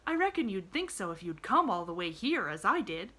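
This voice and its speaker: A woman voicing an eager, cheerful young girl who talks in breathless rushes. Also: medium pitch, big pitch swings. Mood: excited. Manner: bright, breathless and chattering.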